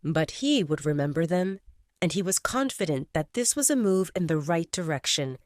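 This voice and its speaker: A woman narrating a scripted audio drama in an expressive, intimate storytelling manner. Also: on the low side, normal speed, dry recording, studio quality.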